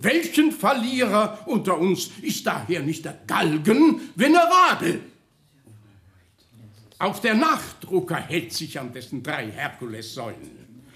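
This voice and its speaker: High-pitched, swooping pompous orator. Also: male voice, huge pitch swings, unhurried, fairly bright tone, heavily acted. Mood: mocking, grandiloquent. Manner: declamatory, grandiloquent, mocking. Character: officious, mocking councillor declaiming a satirical speech. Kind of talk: German radio play acting. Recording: roomy, echoing radio studio sound.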